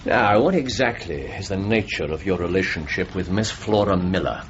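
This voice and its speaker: An actor playing a brilliant, sardonic gentleman detective in a radio drama, British, incisive and dryly mocking. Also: sits low, expressive, normal speed. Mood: dry, measured. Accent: British English. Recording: dull radio recording with faint noise.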